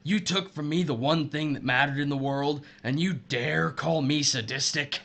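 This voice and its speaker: A man's voice: fairly high pitch, moderate pace, vocal fry, fairly bright tone. An actor playing a bitter, vengeful missile officer betrayed by his wife, intense and accusatory, in an audio drama. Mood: bitter, accusing.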